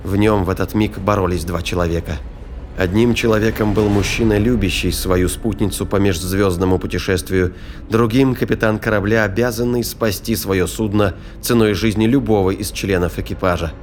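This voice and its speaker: A man giving a measured, grave scripted narration for a sci-fi thriller audio drama. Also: on the low side, steady tone, slight background noise. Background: faint background music.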